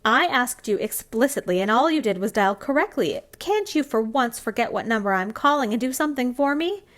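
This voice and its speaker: A woman's voice: on the high side, expressive, brisk, fairly bright tone. A woman acting a frantic, demanding caller on the telephone in an old-style radio thriller, stammering and indignant. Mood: exasperated, demanding. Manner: breathless stammering, indignant, exasperated sighs.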